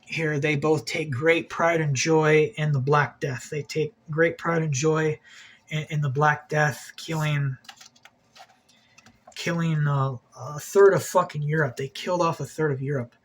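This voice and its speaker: A man's voice: on the high side, fairly even intonation, a little slow. A man hosting an occult video, talking in a rambling, agitated monologue, swearing a lot and repeating himself.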